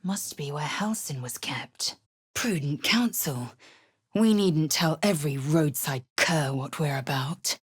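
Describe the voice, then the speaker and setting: A stern, husky alien warrior woman. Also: low-pitched, takes it slowly, exaggerated voice. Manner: clipped, commanding, formal and scornful. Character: proud, stern githyanki warrior woman, young adult. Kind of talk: video game character dialogue.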